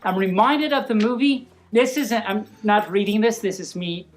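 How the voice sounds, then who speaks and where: A woman's voice: medium pitch, moderate pace, faint music behind. A woman reading a picture book aloud informally, playful and rambling, with easily sidetracked asides.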